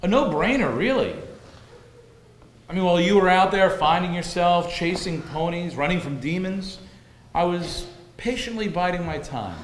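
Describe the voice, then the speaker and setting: An actor playing a smug, sinister husband in a live stage drama, measured and gloating in a theatrical way. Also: male voice, high voice, expressive. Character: smug, sinister husband who calmly poisons his wife. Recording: echoey, noisy live theater recording.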